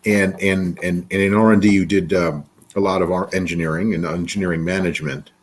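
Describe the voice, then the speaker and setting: A man's voice: deep voice, steady tone, a little fast, a little hiss or hum. A man hosting an interview podcast, introducing his guest in a rambling way with frequent restarts. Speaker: podcast host, attorney.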